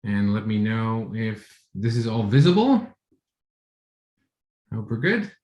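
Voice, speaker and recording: A man's voice: on the low side, takes it slowly. Man, Dutch accent, forties, casual tech talk, filler-heavy, roomy echo on a call mic. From Netherlands. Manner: relaxed, many fillers and restarts. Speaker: conference speaker. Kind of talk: online tech talk with screen share. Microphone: video call.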